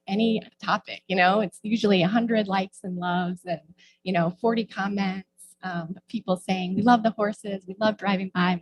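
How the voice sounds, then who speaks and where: Halting, low-pitched middle-aged woman. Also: low-quality recording. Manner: halting, hedging, searching for words. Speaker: former board member giving public comments. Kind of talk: informal remarks at a town meeting. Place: indoor local government meeting.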